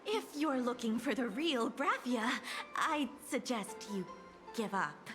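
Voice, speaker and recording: A woman's voice: fairly high pitch, expressive, slow pace. A woman voicing a regal painted goddess in a video game, with grandiose, formal delivery. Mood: resigned. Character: stately painted goddess, female, regal and self-proclaimed. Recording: music behind the voice.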